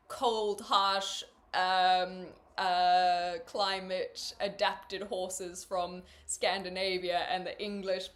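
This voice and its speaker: A woman's voice: medium pitch, a bit bright. A young woman from New Zealand with a faint New Zealand accent, streaming and chatting casually with viewers, thinking aloud with lots of fillers and easy laughs.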